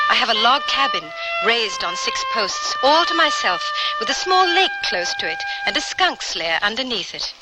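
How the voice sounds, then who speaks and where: A woman's voice: mid-range voice, close to an everyday voice, rough recording. An actress playing a refined, adventurous English lady traveller in an old-time radio drama, articulate and self-possessed. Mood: delighted. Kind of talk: old-time radio drama acting with first-person narration.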